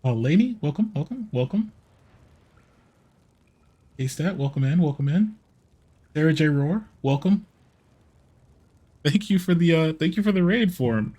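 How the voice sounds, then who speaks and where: A man chatting casually on a gameplay livestream in a halting way, often repeating his phrases. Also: fairly high pitch, expressive, mellow, breathy edge. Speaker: live streamer, VTuber. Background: game music.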